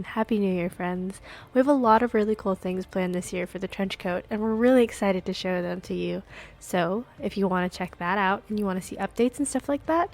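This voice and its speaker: A woman's voice: mid-range voice, a little mellow. A woman hosting a podcast, giving casual announcements to listeners. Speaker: podcast host.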